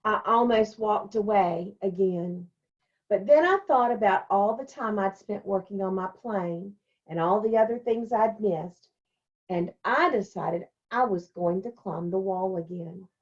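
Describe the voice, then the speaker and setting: A woman speaks as a school storyteller for young children, with a medium-pitched voice. Her phrasing is simple and deliberate, suited to a picture book read-aloud. The recording is muffled and lo-fi. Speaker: elementary school staff member reading a picture book to children.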